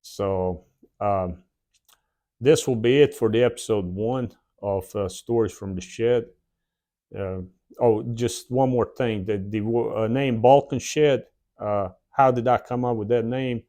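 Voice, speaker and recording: A man in his forties from the former Yugoslavia, with a Slavic accent and a Southern drawl, telling his own story plainly with many "uh" pauses in his shed workshop. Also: fairly low pitch, mellow. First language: a South Slavic language. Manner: plain, unhurried, frequent "uh" pauses. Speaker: channel host. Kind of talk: casual personal storytelling.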